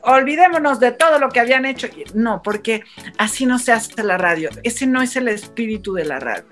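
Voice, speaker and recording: A woman's voice: on the high side, soft background music. Woman telling radio stories. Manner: animated storytelling with quick restarts. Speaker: radio broadcaster guest. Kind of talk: casual radio panel chat.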